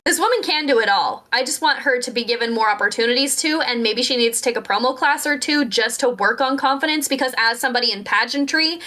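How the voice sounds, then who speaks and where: A woman's voice: fairly high pitch, fast talker, few pauses, sharp tone. A young woman co-hosting a wrestling podcast, talking with emphatic, well-enunciated passion. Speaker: podcast co-host. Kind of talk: casual wrestling podcast chat.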